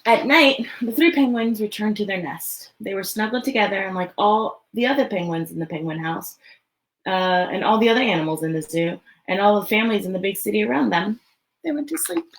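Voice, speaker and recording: A woman hosting a children's story time, reading aloud and chatting informally, often thinking out loud as she goes. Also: mid-range voice, lively intonation, brisk, low-quality recording. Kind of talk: reading a picture book aloud, then casual chat to children.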